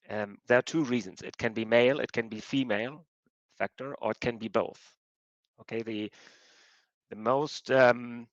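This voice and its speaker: A man's voice: mid-range voice, no echo, dull audio. A man with a German accent, a fertility doctor giving a webinar talk, explaining steadily with many ums and restarts. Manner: explanatory, hesitant with many fillers. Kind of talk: webinar presentation.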